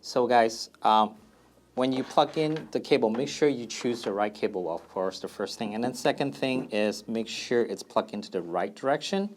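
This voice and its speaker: A man's voice: medium pitch, normal speed, a little hiss or hum. A man presenting a product FAQ as a company presenter, explaining things plainly and step by step in a casual demonstration style.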